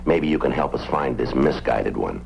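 An actor playing a dry, unflappable police sergeant in an old-time radio drama, speaking in a matter-of-fact, clipped way. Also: male voice, a bass voice, normal speed, creaky, a little mellow, a little hiss or hum. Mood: dry, patient. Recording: muffled old radio recording with music.

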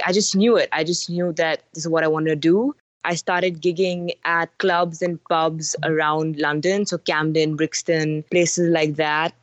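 A woman's voice: on the low side, dry recording. A young woman from India, a singer-songwriter, chatting frankly and enthusiastically as an interview guest over a muffled line. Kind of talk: casual interview chat.

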